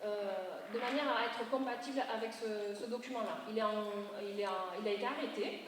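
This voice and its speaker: A woman's voice: fairly high pitch, a little fast. A woman giving an explanatory public presentation as an urban planning consultant, going step by step in a didactic way and correcting herself as she goes. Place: large reverberant meeting room, audience present. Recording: very echoey hall, distant mic, faint noise.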